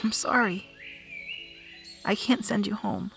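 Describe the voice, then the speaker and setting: A woman's voice: mid-range voice, fairly even intonation, slow pace, gravelly, fairly bright tone, soft background music, exaggerated voice. A woman playing an earnest, determined young princess heroine, heartfelt, in a fantasy audio drama. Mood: regretful.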